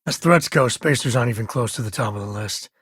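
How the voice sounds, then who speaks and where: An actor playing a laid-back, sardonic space ranger and devoted father in a video game, with a folksy, wry way of talking and a husky, gravelly voice. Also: medium pitch, quick pace, a bit bright, dry recording, clean studio sound. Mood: easygoing, resolute. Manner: folksy, wry, drawling informal speech. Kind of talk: video game companion dialogue.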